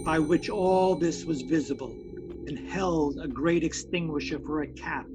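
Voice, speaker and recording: A man's voice: a little high, measured pace, over music, rough recording. A man narrating an audio drama in formal, literary, dramatic storytelling phrases.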